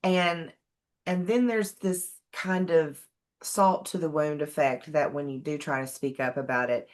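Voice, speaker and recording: Southern american woman, really low voice, earnest casual chat. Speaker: co-host, advocate. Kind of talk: casual conversation.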